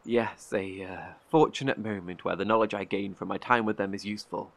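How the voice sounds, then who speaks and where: A man's voice: fairly low pitch, fairly even intonation, a little fast, vocal fry. An actor playing a wary, guilt-ridden male survivor in an audio drama, terse, guarded and defensive. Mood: weary, defensive.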